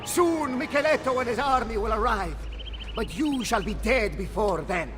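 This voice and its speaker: An actor playing an arrogant, power-hungry Italian warlord villain in a video game, grandiose and menacing, with an Italian accent. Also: male voice, extremely high pitch, expressive, moderate pace, over music. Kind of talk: video game cutscene acting.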